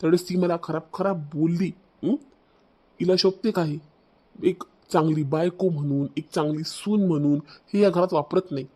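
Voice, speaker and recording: Man doing proud young husband ranting about his wife, marathi cartoon, vidarbha accent, kinda high voice. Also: fairly even intonation, normal speed, darkish tone, natural voice. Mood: indignant. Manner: accusing, ranting. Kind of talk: cartoon family drama dialogue.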